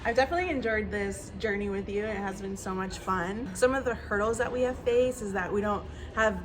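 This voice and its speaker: A woman from Mexico, a brand co-founder, presenting in a friendly pitch video in a warehouse. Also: brisk, background noise.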